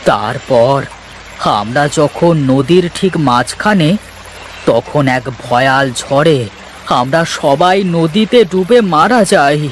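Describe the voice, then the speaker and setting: A man acts a wandering minstrel's ghost in a cartoon story. He is knowing and gentle, stretching words slowly with a soothing, mysterious air. Light background noise surrounds the voice. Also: a little high, lively intonation. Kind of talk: cartoon voice acting.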